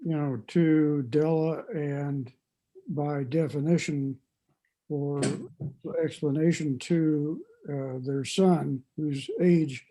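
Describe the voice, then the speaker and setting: A man's voice: fairly high pitch, steady tone, slow, warm tone, low-quality recording. A man sharing his reflections in a book discussion, searching for words as he goes. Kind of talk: reflective opinion in group discussion.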